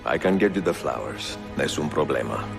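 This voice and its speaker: An actor playing an aging Italian master assassin, grave, courteous and world-weary, in a video game, with an Italian accent and a gravelly voice. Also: male voice, very deep voice, expressive, fairly quick, heavily acted. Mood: courteous, gentle. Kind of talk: cinematic video game acting. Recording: music behind the dialogue.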